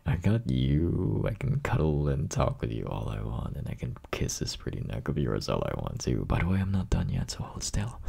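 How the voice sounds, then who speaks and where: Man acting a very deep, raspy clingy boyfriend. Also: very animated, normal speed, few pauses, mellow, exaggerated voice. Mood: playful, clingy. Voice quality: raspy, breathy, gravelly. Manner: murmuring, often dropping into whispers. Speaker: roleplay audio performer. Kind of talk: intimate ASMR boyfriend roleplay.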